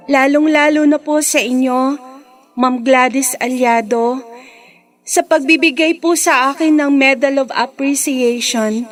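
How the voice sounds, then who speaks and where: An actress playing a grateful young student making a polite, measured speech in a Filipino radio drama. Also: female voice, sits high. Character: grateful young female student giving a thank-you speech.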